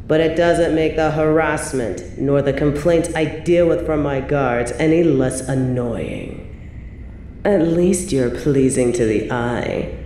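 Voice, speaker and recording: A woman playing an evil, possessive medieval queen in a roleplay audio drama, haughty and theatrical with drawn-out, breathy asides. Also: low voice, warm tone, echoey room, a little hiss or hum. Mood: scornful, haughty. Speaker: voice actor in a scripted roleplay audio.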